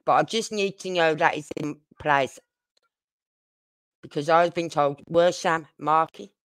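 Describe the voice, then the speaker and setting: A British woman chats casually as a livestream host, drifting informally from point to point. Her pace is slow and her voice is clean and smooth. The sound is dry, with little room around it. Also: sits low, no room sound. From United Kingdom. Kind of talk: casual true-crime livestream chat.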